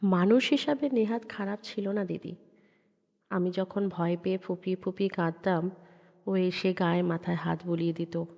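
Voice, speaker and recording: A woman's voice: on the low side, steady tone, normal speed, gravelly, darkish tone. A woman reading a horror story as a dramatic, suspenseful narrator. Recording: dull sound with long reverb.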